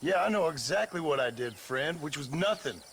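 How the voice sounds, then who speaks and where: A man's voice: a little high, lively intonation, moderate pace, creaky, fairly bright tone, a little hiss or hum. A man playing a cool-headed outlaw gunman with an American accent in a video game cutscene, dry and terse. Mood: coolly defiant.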